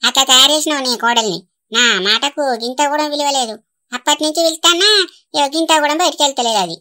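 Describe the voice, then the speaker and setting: Grumbling cartoon granny with a Telangana accent. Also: female voice, sits high, fast talker, bright, forceful tone. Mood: complaining. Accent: Telangana Telugu. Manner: grumbling, complaining. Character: elderly grandmother, grumbling and stubborn.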